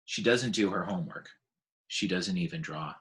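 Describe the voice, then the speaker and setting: A man reading a children's novel aloud in an expressive storytelling manner. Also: low-pitched, moderate pace. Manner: expressive, with voiced dialogue.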